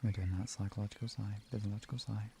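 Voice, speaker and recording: Soft gravelly-edged man, very mellow. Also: fairly low pitch, steady tone, quick pace, slight background noise. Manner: soothing, gentle, instructional. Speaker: streamer leading guided relaxation. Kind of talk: guided relaxation, chatting with stream viewers.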